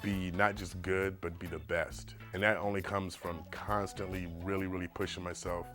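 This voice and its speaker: A middle-aged man who paints shoes, talking about his work in a documentary interview in a relaxed, rambling way with many fillers. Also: deep voice, moderate pace, music in the background. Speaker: shoe painter.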